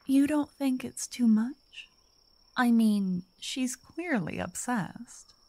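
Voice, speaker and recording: Casting: wealthy vampire authoress played by a woman for ASMR roleplay; theatrical, doting tease, unhurried, mellow, breathy half-whisper. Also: on the high side, expressive, no echo. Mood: musing. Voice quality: soft, breathy, often whispered. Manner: teasing, dreamy, old-fashioned wording. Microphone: close, dry microphone.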